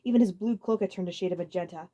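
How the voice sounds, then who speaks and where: A woman reading a story aloud as a dramatic narrator, expressive, occasionally stumbling over a phrase. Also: on the low side, very fast, highs cut off. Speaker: audiobook narrator.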